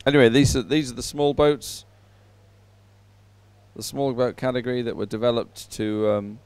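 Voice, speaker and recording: A man giving unhurried live sports commentary, filling time between races in halting phrases with frequent pauses. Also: medium pitch, steady tone, a little mellow, clean tone, no echo.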